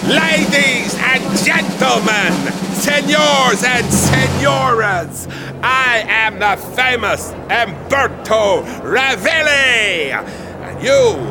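A man's voice: sing-song, extremely slow, full character voice. An actor playing a boastful male freak-show ringmaster who barks out his acts in a grand, theatrical manner. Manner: booming, showy barker. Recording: loud crowd and music behind.

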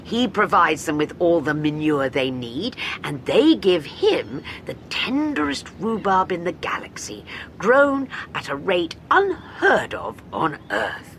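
Lady doing a calm dry doctor guy, kinda low voice. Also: slow, a little hiss or hum, exaggerated voice. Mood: wry. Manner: unflappable, dry. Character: male doctor, calm, curious and wry.

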